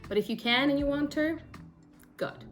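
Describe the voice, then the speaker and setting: A woman's voice: high voice, moderate pace, soft background music. A young woman from South Africa with a South African accent, her first language Afrikaans, chatting casually and self-deprecatingly to camera as a book vlogger, with frequent ums. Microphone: phone or camera mic at desk.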